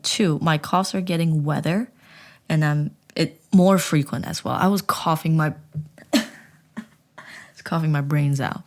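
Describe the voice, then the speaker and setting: Young woman, low register, animated and self-mocking, casual vlog delivery. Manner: rambling, with many restarts. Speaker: vlogger. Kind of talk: casual storytelling chat.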